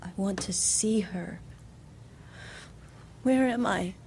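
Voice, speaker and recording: A woman's voice: mid-range voice, slow pace, vocal fry, slight background noise. An actress playing a dazed, desperate captive mother in an audio drama, insistent and pleading. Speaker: actor. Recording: loud music under the voice.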